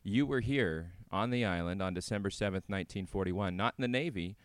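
A man's voice: sits low, brisk, clean tone, dry recording, studio quality. A man hosting a radio show, interviewing guests and presenting links between segments.

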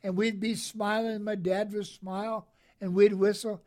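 Man, eighties, veteran guest, gentle, upbeat, chuckling, higher voice. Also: little pitch movement, unhurried. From American Midwest. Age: 80s. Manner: cheerful, chuckling, gentle and soft-spoken. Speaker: Korean War veteran guest. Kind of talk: interview answers.

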